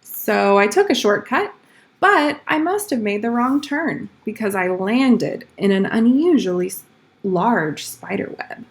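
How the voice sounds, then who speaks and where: Woman reading as an earnest, unhurried schoolboy storyteller. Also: mid-range voice, lively intonation. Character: schoolboy spinning tall-tale excuses for lateness. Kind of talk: storybook read-aloud.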